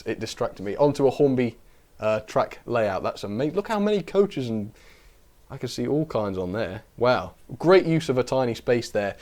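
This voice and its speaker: A man hosting a casual live stream, chatty and self-deprecating, his voice a little rough from a cold. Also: medium pitch, a little mellow. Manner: chatty, self-deprecating, invites viewers to correct him. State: a bit of a cold, voice recovering.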